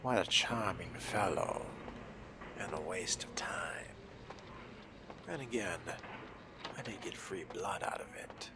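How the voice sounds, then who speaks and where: A man's voice: deep voice, lively intonation, slow, long pauses, background noise, exaggerated voice. A man voicing a sardonic, disdainful fledgling vampire in a video game roleplay, musing in dry, formal wording. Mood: wry, musing.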